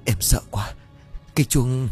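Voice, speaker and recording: Horror story role: terrified, tearful young wife played by a man, pitched up, whimpering and pleading. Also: measured pace, a little mellow, faint music behind, lightly acted. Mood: panicked, shaken. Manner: stammering, whimpering.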